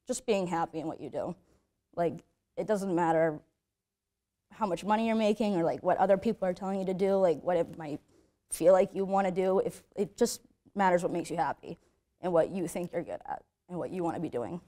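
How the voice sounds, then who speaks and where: A woman's voice: fairly low pitch, a little fast, warm tone. A young woman student talking casually, with lots of "like", in a documentary interview. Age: young adult. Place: studio with plain backdrop.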